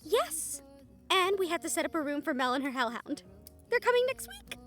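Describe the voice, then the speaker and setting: High-voiced devoted vampire bride, played by a woman. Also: sing-song, normal speed, a bit bright. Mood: excited. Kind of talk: fantasy romance audio roleplay acting. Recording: faint music behind the voice.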